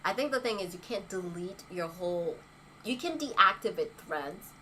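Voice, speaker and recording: A woman's voice: on the low side, expressive, moderate pace, pushed and bright, slight background noise. A young woman chatting casually on a livestream as an influencer, reading viewer comments and laughing often between rhetorical asides. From Haitian heritage.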